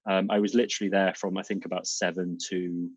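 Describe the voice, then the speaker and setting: Deep husky British man, dull lo-fi sound. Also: flat delivery, fast talker, dry recording. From UK, South Wales. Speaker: guest, fitness instructor. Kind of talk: casual interview chat. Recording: dull, lo-fi dry recording.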